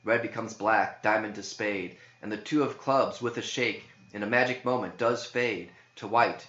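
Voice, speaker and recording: A man reading scripted narrative verse aloud in a measured, deliberate way. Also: on the low side, fairly bright tone. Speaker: author reading own verse. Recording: dull, echoey room recording.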